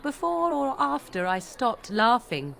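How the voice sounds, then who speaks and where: Haughty, animated witch. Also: on the high side, unhurried, gravelly, full character voice. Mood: mocking. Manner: haughty, sardonic, formal phrasing. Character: aloof, scornful young witch with cutting wit. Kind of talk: video game voice acting.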